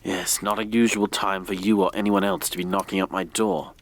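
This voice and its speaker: An actor playing a sardonic, jumpy grave robber in an audio drama, swinging from muttered asides to startled exclamations. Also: male voice, sits low, little pitch movement, brisk, slight background noise, natural voice. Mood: indignant.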